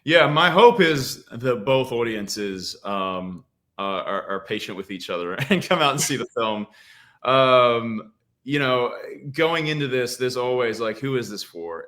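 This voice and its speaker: A man's voice: lowish voice, a bit bright. A man from Australia, a film director, giving earnest, reflective interview answers with frequent restarts.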